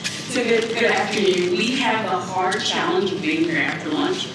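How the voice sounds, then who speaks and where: A woman moderating a conference panel, pacing her introductions slowly and repeating herself. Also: medium pitch. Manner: warm-up, slow, repeats herself. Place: conference hall. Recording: echoey hall, distant, background noise.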